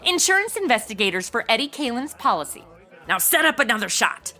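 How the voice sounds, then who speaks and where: An actress as a boozy young party girl in a comedy radio drama. Her voice is highish, bright and very animated, delivering blunt sarcasm in modern slang. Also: a little fast, soft background music. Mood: flippant, sarcastic. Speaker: lead actor in a comedy audio drama. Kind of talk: comedic audio drama acting.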